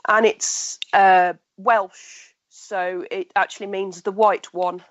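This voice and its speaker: A woman's voice: mid-range voice, flat delivery, slow pace, highs cut off, slight background noise. A woman guest with a blocked, nasal voice, chatting casually in an online radio interview.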